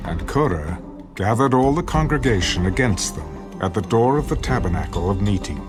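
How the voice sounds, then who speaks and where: A man's voice: lowish voice, animated, normal speed. A man narrating a dramatized scripture story in a scripted, storytelling style. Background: music.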